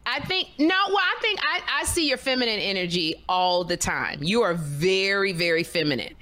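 A woman's voice: mid-range voice, animated, normal speed, sharp tone, slight background noise. Effusive woman podcast host. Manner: effusive, repeats herself, gives directions. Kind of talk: casual podcast chat.

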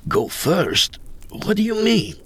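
A man's voice: high voice, huge pitch swings, takes it slowly, fairly bright tone, slight background noise, pitched above the performer's own voice, heavily acted. A man playing a bewildered, agitated American greenhorn in a told story. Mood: alarmed, angry.

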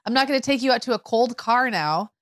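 A woman in her forties in casual conversation, telling family stories in an animated way with lots of fillers. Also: fairly high pitch, fast talker, slight background noise. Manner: chatty, animated storytelling with fillers.

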